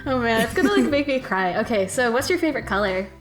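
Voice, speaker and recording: A woman with a fairly high, very lively voice hosts a casual interview. She is informal and giggly, often tripping over her phrasing, with light music behind her. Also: fast. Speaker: interviewer, host of a fandub Q&A.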